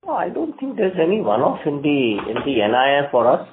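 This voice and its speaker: A man speaking as a company executive, answering analysts' questions off the cuff, halting and repeating his words. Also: on the high side, huge pitch swings, narrow, tinny audio. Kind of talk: unscripted earnings call Q&A. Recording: noisy phone conference line.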